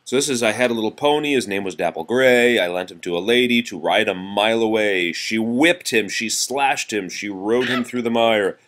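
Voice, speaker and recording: Low-voiced man reading nursery rhymes aloud. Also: normal speed, few pauses, fairly bright tone. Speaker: parent reading aloud to a young child. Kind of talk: read-aloud of nursery rhymes for a child, with casual asides.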